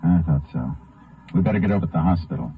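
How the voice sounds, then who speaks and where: Deep, terse Texas Ranger. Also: male voice, expressive, quick pace. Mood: businesslike. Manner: terse, understated, businesslike. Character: calm, dogged male Texas Ranger investigating a killing. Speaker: lead actor and first-person narrator. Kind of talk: 1950s radio drama acting. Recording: muffled old 1950 radio recording.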